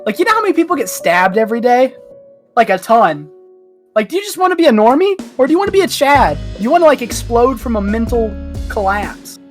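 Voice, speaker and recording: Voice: medium pitch, sing-song, a little fast, soft background music. A person chatting casually on a group podcast, telling things in a slangy, joking way. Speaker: podcast panelist.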